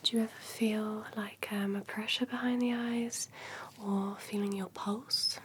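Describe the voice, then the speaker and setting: A woman whispering breathily in an ASMR doctor roleplay, speaking gently and hesitantly like an attentive clinician. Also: medium pitch, little pitch movement, a little slow. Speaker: ASMR performer playing an eye doctor. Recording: clear background noise and ambience.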